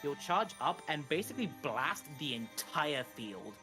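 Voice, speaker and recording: Higher-voiced man explaining a game speedrun live. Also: big pitch swings, measured pace, bright, forceful tone. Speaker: speedrun commentator. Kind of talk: live gameplay commentary, explanatory. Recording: music behind the voice. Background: game music.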